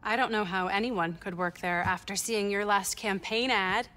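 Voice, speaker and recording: An actress playing a sharp, sardonic teenage science whiz in a naturalistic video game scene. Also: female voice, mid-range voice, fairly even intonation, moderate pace, natural voice. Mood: wry.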